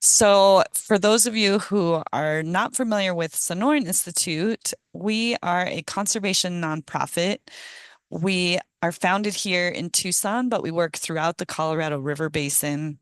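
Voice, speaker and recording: A middle-aged woman, hoarse from a cold, giving a casual presentation on a video call with many ums and small laughs. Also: on the low side, a little slow, no room sound. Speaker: guest speaker, ecologist. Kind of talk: online meeting presentation.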